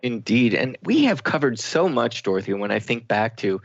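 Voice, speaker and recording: A man co-hosting a spiritual talk radio show in a reflective, halting, conversational way, with slightly dull sound. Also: medium pitch, very animated, gravelly, no echo. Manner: reflective, halting, searching for words.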